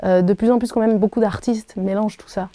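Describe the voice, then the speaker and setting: A woman in her thirties whose first language is Arabic, answering interview questions thoughtfully, with many pauses and restarts. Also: medium pitch, steady tone, mellow, dry recording. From Algeria. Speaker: singer being interviewed. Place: hotel room.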